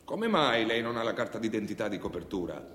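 A man's voice: on the low side, big pitch swings, fairly quick, fairly bright tone. A male actor playing a stern, suspicious police official in a stage drama, curt, bureaucratic and condescending. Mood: stern, impatient. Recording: echoey hall, long reverb.

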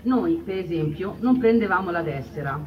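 A woman's voice: lowish voice, lively intonation, moderate pace, recorded from a distance, crowd noise, natural voice. Actress as Roman woman recalling old times, dull recording. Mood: reminiscing. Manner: colloquial storytelling. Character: elderly Roman woman recalling her anti-fascist family's wartime childhood. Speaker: stage actress in a radio theatre piece. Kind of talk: dramatised oral-history testimony in dialect.

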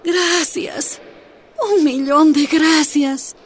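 A woman playing a suffering yet defiant imprisoned mother in a radio drama, halting and anguished. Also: high-pitched, steady tone, a little hiss or hum. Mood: weak, submissive.

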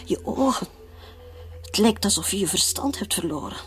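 An actress playing a frightened wife haunted by a nightmare, speaking in a halting, hushed, fearful way in a radio play. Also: female voice, medium pitch, big pitch swings, a little hiss or hum, lightly acted.

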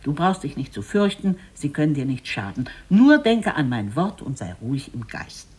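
Aged fairy-tale master, done by a storyteller: grave, instructive, husky, expressive in pitch. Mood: solemn warning. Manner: solemn, measured, instructive. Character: solemn old Chinese sage, cautioning, gravely disappointed.

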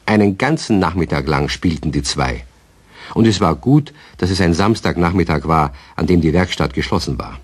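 A man narrating a children's radio story with a very low, slightly husky voice. He tells it calmly and in a measured way.

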